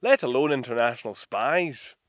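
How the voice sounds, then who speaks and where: An actor with a British accent playing a proud, genteel 1930s inventor in a period audio drama, speaking with clipped, old-fashioned courtesy. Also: male voice, mid-range voice, expressive, normal speed, fairly bright tone, dry recording, studio quality, lightly acted. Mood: wry, resigned.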